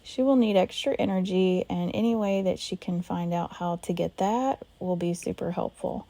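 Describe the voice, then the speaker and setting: A woman with a hoarse voice calmly narrating a life-simulation game as host of a let's play. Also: on the low side, normal speed, no room sound. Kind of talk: gameplay narration.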